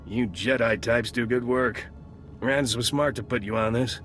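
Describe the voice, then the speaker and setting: An actor playing a gruff, businesslike male military intelligence agent in a video game. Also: medium pitch, measured pace, a bit bright, soft background music, natural voice. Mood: dry, businesslike.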